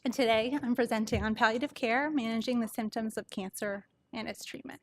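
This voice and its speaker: Soft-voiced woman with a higher pitch, presenting. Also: steady tone, fairly quick. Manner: conversational, explanatory. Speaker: palliative care doctor presenting. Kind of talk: informal medical presentation to an audience.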